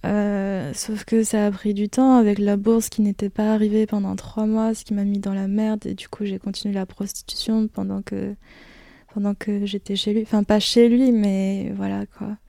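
Young woman in her 20s, gravelly low-key voice, flat delivery, lots of ums and false starts, dry close sound. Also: normal speed, dry recording. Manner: hesitant, many fillers and restarts, low-key. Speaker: interviewee giving a personal testimony. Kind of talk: candid personal account.

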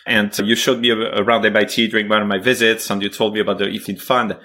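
A man hosting a podcast interview, speaking conversationally with frequent pauses. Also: lowish voice, level tone, sharp tone. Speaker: podcast host.

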